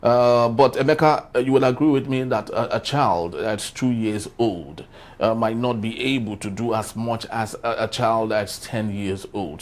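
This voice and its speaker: A man moderating a political discussion, putting questions in measured, tentative phrases. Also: medium pitch, darkish tone. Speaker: host, moderator.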